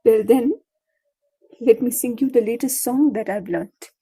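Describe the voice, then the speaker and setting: A woman's voice: a little high, measured pace, warm tone, breathy edge. A woman playing a fearless, tender young heroine in an audio play, speaking expressively and earnestly. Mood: gentle.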